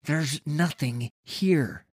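Frightened loyal lad played by a man, strained, lifted pitch, choked delivery, dry audiobook sound. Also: expressive, very slow, breathy edge, no echo, exaggerated voice. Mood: uneasy. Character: frightened, grieving young boy, timid but loyal.

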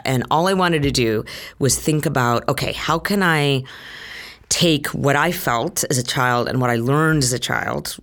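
A middle-aged doctor from Utah speaks, earnest and reflective. The voice sits at a middle pitch. The speaker often pauses with "um" and "uh" while thinking aloud. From Utah, United States. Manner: earnest, reflective, with frequent fillers. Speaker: HIV physician, interview guest. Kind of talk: conversational interview answer.

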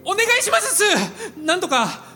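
A woman's voice: extremely high pitch, huge pitch swings, normal speed, sharp tone, soft background music, full character voice. A woman acting a loyal, humble young male office worker in a live radio drama, imploring in slangy, clipped politeness. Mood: desperate pleading.

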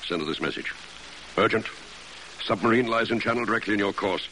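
An actor playing a stern, urgent naval base commander giving clipped orders in 1940s radio drama style. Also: male voice, on the low side, fairly quick, pushed and bright, rough recording. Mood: urgent, grave. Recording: hissy vintage radio recording.

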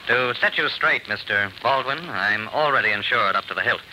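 A man's voice: medium pitch, normal speed, sharp tone, poor audio. An actor playing a curt, calculating businessman with brusque, clipped delivery in an old radio drama. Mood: irritated, wary. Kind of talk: 1940s radio acting. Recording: hissy old radio recording.

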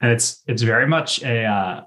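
A man's voice: mid-range voice, moderate pace, sharp tone. A man hosting a podcast, chatting casually about a TV show in an informal, jokey way. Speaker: podcast host.

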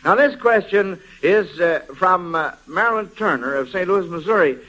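A man with a fairly high voice and an American accent hosts a quiz on vintage radio. His way of talking is folksy and old-fashioned, in the style of a 1940s broadcast. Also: animated, normal speed, a bit bright, poor audio. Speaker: quiz show host.

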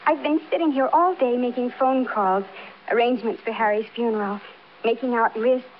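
A woman's voice: on the high side, moderate pace, natural voice. An actress playing a fragile, newly widowed American woman, genteel, hesitant and politely evasive, in old-time radio drama. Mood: evasive, tense. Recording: muffled, noisy vintage radio broadcast.

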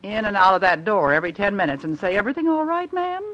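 A woman's voice: medium pitch, sing-song, fast talker, a bit bright, dull audio. A woman playing a brash, wisecracking but kindly diner waitress in a 1950s radio comedy, with a sassy, exaggerated, teasing delivery. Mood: wry, teasing.

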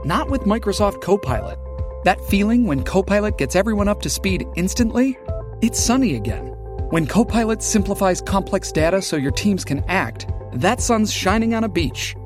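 A man's voice: high-pitched, big pitch swings, moderate pace, over music. A man reading an upbeat, scripted commercial voice-over. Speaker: advertisement voice-over.

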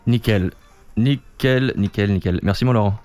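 A French actor playing a joking, easygoing barbershop client in naturalistic audio fiction. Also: male voice, fairly low pitch, normal speed, darkish tone, faint music behind, close to an everyday voice. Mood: relaxed, casual. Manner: casual, jokey, chatty.

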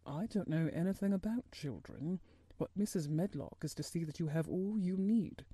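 A woman voicing a weary, fretful middle-aged man who speaks in an absent-minded, halting, worried way in a storybook narration. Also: lowish voice, mellow, breathy edge, no room sound. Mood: worried, wretched.

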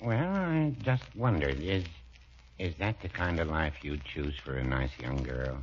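Low-voiced, meek folksy druggist. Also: male voice, lively intonation, a little slow, exaggerated voice. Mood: cautiously doubtful. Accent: American. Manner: hesitant, dry, meek. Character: mild, cautious, folksy small-town druggist. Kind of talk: 1940s radio comedy acting. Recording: dull old radio recording.

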